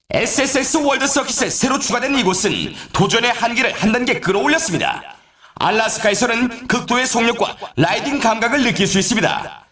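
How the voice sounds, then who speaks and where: An actor voicing an excitable male snowboard race announcer in a video game, with a polished, showy scripted delivery. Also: sits high, echoey room. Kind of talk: scripted game commentary, course introductions.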